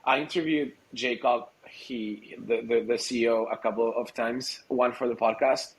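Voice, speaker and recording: Male interview guest from Argentina, native Spanish speaker, mid-pitched voice, relaxed phrasing with hesitant word searching. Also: normal speed, poor audio. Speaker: podcast guest, startup growth executive. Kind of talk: casual interview conversation.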